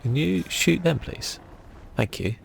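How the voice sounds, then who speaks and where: A man giving relaxed, casual ASMR game commentary in a soft, breathy, half-whispered voice, musing to himself as he plays. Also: on the high side, measured pace, a little hiss or hum. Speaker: gaming channel host.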